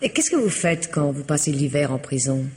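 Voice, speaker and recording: A woman's voice: low voice, sing-song, moderate pace, darkish tone, a little hiss or hum. An actress playing a poised, evasive wealthy woman hosting a stranger, cool, polite and guarded, in a vintage radio drama. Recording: old radio recording, long reverb.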